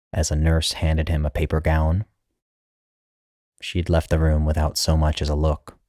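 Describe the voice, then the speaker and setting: Deep-voiced man narrating fiction. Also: darkish tone, no echo, clean studio sound. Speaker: author narrating own short story. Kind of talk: scripted literary narration.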